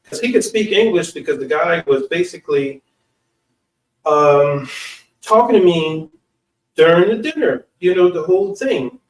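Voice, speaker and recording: An American man telling personal stories casually, with an informal, slangy and halting delivery. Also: a little high, lively intonation. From United States. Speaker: online speaker and channel host. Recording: echoey room, distant from mic.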